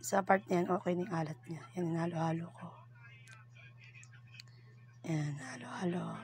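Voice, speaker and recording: Woman, cooking vlogger, chatty, giggly, hoarse and breathy, lowish voice, home bathroom. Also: fairly even intonation, normal speed, darkish tone. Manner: chatty, giggly, self-teasing. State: voice hoarse, partly whispery. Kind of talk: casual cooking tutorial in Taglish.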